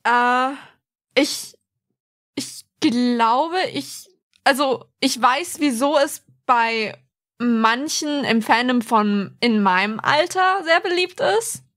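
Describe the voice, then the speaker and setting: A woman's voice: high voice, slow pace, a bit bright. A woman co-hosting a podcast, chatting informally and bluntly. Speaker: podcast co-host. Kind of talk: casual chat.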